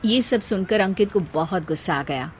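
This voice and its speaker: A woman's voice: lowish voice, animated, moderate pace, breathy edge. A woman narrating a scripted moral story as a storyteller.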